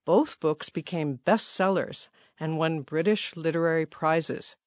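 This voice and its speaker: A woman reads a listening-exam passage in a slow, careful, even delivery. The sound is dry and close, with no room around it. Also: low voice, no room sound. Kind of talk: scripted narration.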